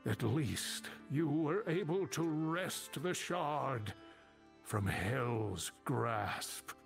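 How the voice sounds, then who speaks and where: An older actor playing a grave, weary old scholar and mentor in a video game, speaking in a solemn, halting way. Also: male voice, medium pitch, animated, creaky, soft background music, heavily acted. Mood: grave urgency. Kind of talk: dramatic video game dialogue.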